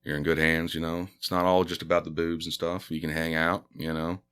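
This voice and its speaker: A man's voice: a bass voice, little pitch movement, fairly quick, a bit bright, clean tone. A man who grew up speaking Spanish first, a comedian chatting on a podcast in a laid-back, rambling way full of fillers and restarts. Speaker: stand-up comedian guest. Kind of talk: casual podcast chat.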